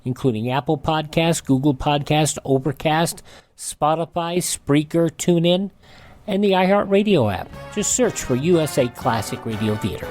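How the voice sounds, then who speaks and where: A man's voice: a little high, animated, moderate pace, a little mellow. A man hosting a radio show, giving a courteous, folksy scripted sign-off with casual asides. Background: music.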